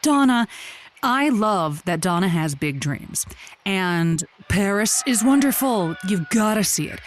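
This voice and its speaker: A woman's voice: mid-range voice, lively intonation, unhurried, a little hiss or hum, close to an everyday voice. A woman playing an upbeat, encouraging advice host on an improvised comedy call-in show. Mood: enthusiastic, whimsical. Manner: effusive, encouraging.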